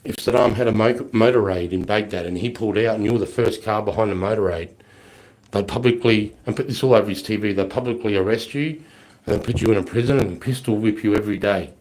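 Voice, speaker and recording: A man's voice: fairly low pitch, quick pace, darkish tone, low-quality recording. Blunt man, a bit flu-worn. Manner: blunt, rambling, heavy swearing. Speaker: livestreamer answering viewer questions. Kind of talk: casual live Q&A chat.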